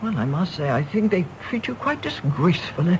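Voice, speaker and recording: Old posh english mum in a radio play, fussy n doting, voice swoops around, fluttery. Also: female voice, lowish voice, very animated, brisk, darkish tone, a little hiss or hum. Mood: indignant, probing. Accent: English Received Pronunciation. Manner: fluttery, hesitant, repeating herself. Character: fussy, doting, indignant elderly English mother. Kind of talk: British radio drama acting.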